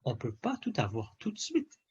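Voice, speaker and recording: A man reading a children's story aloud in an expressive, theatrical way with emphatic phrasing. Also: on the high side, moderate pace, husky, highs cut off. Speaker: storybook reader.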